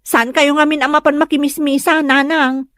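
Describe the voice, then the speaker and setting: A woman playing an earnest, reasonable grown daughter who gently reproves and pleads for peace in an audio drama. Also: high-pitched, flat delivery, moderate pace, no echo, studio quality. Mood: pleading, reproving. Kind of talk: Ilocano radio-style drama acting.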